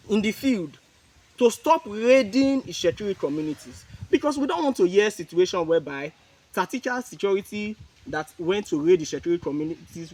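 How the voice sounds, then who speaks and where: A man with a Nigerian accent making an earnest, measured public appeal as chairman of a youth group. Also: high voice, a little mellow, low-quality recording. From Niger Delta, Nigeria. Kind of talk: press statement, public appeal.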